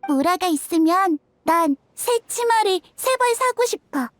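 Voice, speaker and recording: Dreamy little girl, very high. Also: expressive, unhurried, no echo, studio quality, faint music behind. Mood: wistful. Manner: soft, wistful, playful. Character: gentle, dreamy young girl longing for the world. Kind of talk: game cutscene dub.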